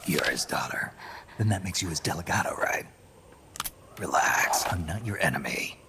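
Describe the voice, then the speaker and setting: An actor voicing a terse, guarded middle-aged male mercenary in a video game cutscene, with a gravelly, hushed delivery. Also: lively intonation, normal speed. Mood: calm. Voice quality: gravelly, low whispery delivery. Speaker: game character. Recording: game cutscene with music behind.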